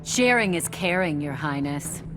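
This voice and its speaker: A woman's voice: sits low, lively intonation, unhurried, very noisy. A woman playing a confident, teasing alien superheroine in a video game. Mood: cheeky, teasing. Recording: loud music behind.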